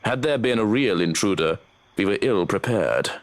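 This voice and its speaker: A man's voice: lowish voice, lively intonation, voice pushed down. Man playing a composed, formal security man. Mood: measured, tactful. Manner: measured, formal, matter-of-fact. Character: composed, dutiful male security man, cautious and tactful.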